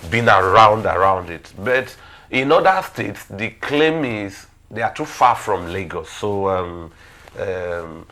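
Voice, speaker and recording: A man with a Nigerian accent giving detailed conversational analysis on a talk show, in a halting, thinking-aloud way. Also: lowish voice, animated, pushed and bright. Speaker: current affairs talk show speaker.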